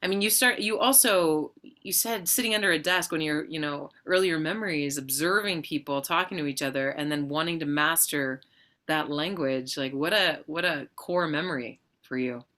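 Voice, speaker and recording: Bubbly lady host chatting on a live stream, lowish voice but bright and forceful, laughs a lot. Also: moderate pace. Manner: bubbly, effusive, laughs often. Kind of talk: casual interview chat. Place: video call livestream.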